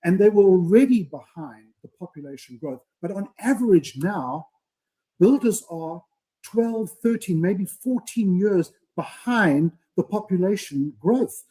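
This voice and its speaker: A man's voice: high voice, animated, measured pace, warm tone, low-quality recording. A man co-hosting a live show, speaking earnestly in a speechifying way and often adding "right?". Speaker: podcast co-host. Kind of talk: casual live-stream chat.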